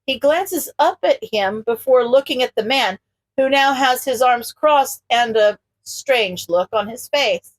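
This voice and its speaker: Female narrator, higher-pitched, scripted fiction reading, audiobook-style storytelling. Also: lively intonation, measured pace. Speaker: podfic reader.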